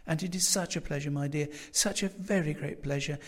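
A man's voice: a little high, steady tone, a little fast, pitched above the performer's own voice, natural voice. A man voicing a dotty, overly sweet older landlady who gushes and fusses over her guest. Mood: gushing. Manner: gushing, fussy, coaxing.

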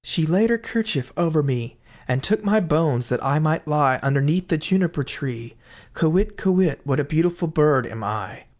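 A man's voice: a little high, animated, normal speed, darkish tone, lightly acted. A man voicing a magical bird that chants its verses in a sing-song way. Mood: chanting. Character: magical songbird, eerie and accusing.

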